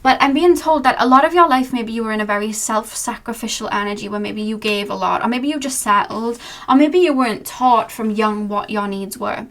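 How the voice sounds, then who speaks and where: Young female tarot reader, slightly high-pitched, loose and rambling, fumbles for words. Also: brisk, hardly any pauses, a bit bright. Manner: meandering, filler-heavy, searching for words. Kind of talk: channeled tarot reading.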